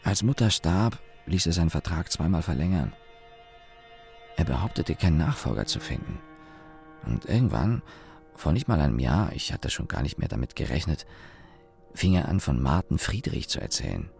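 Young engineer in a radio play, super deep creaky voice, dry sardonic storytelling, lots of little pauses. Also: male voice, sing-song, darkish tone, soft background music, exaggerated voice. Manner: wry, deliberate, with pauses and self-corrections. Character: young engineer, sardonic company employee recalling his father. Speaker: narrator and lead actor. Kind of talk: German radio play monologue, reflective storytelling.

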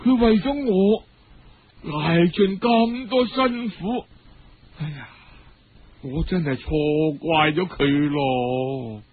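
A man's voice: fairly high pitch, slow pace, pauses often, breathy edge. Pleading lovestruck Cantonese young woman voiced by a man. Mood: moved, remorseful. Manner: tender, imploring, emotional. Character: lovestruck, self-doubting young courtesan woman, pleading and devoted. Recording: dull lo-fi radio-style recording.

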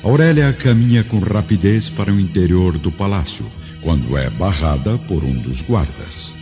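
A man's voice: deep voice, lively intonation, normal speed. A man delivering scripted, dramatic narration for a radio drama. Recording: dull lo-fi audio with music behind.

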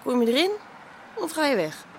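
A woman's voice: high voice, sing-song, moderate pace, a little hiss or hum. A woman playing a brash, teasing window prostitute in a radio drama, streetwise and direct. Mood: annoyed. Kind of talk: naturalistic Dutch radio drama acting.

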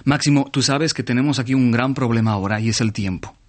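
A man's voice: medium pitch, quick pace. A man hosting a radio program in a conversational interview style.

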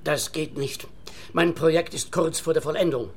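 A man's voice: on the high side, moderate pace, slight background noise, lightly acted. An actor playing an earnest, self-convinced inventor and entertainer in a radio play, eager and insistent like a salesman, with a Swiss accent.

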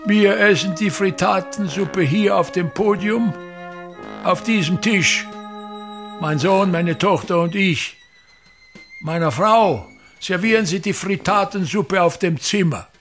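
An actor playing a vain, embittered older theatre actor and playwright in a radio play, domineering and declamatory, with an Austrian accent. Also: male voice, sits high, slow, a bit bright, faint music behind, heavily acted. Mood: bossy, fussy. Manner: theatrical, declamatory, haranguing, repeats words.

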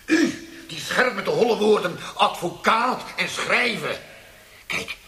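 An actor playing a menacing, mocking interrogator of a brutal regime in a radio play, sneering and theatrical, swinging from quiet threat to ranting. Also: male voice, sits high, lively intonation, measured pace, exaggerated voice. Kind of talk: radio drama acting.

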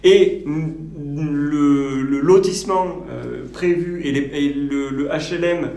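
A man playing an officious village mayor in a radio fiction, formal, placating and halting. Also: on the high side, vocal fry, dark tone. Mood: firm, defensive. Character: officious village mayor defending his project. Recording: echoey meeting room.

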